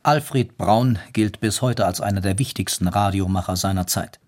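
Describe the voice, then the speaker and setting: A man's voice: sits low, moderate pace, darkish tone. A man reading scripted documentary narration as a narrator.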